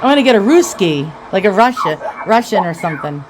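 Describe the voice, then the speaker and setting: A woman's voice: medium pitch, big pitch swings, brisk. An enthusiastic, curious woman vlogger chatting casually and asking lots of questions in a home workshop. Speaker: vlogger interviewing a parent. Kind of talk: casual chat with many questions. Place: indoor home radio workshop. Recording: noisy handheld home-video sound.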